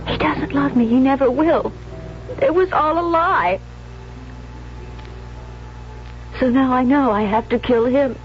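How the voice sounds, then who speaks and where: An actress playing a jealous, unstable young woman in a 1970s radio drama, intense and pleading, on a dull vintage recording. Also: high voice. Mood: bitter, desolate. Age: young adult. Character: jealous, obsessive, unstable young bride-to-be turned killer.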